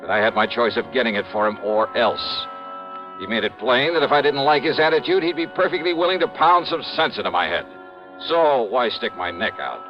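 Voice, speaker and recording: An actor playing a wry, tough, skeptical American insurance investigator in a 1950s radio detective drama, with a brisk, casual, sardonic delivery. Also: male voice, mid-range voice, over music, natural voice. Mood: wry, narrating. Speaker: lead actor and narrator. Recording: muffled old radio broadcast recording.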